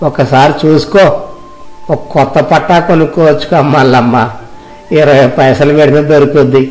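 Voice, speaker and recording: A man's voice: a little high, steady tone, normal speed, a little mellow, close to an everyday voice, rough recording. A man playing an arrogant, mocking rich village man in a moral story, speaking colloquial rural Telugu. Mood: mocking, boastful. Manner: mocking, boastful, condescending. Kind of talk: moral story audio drama. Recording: music behind the voice.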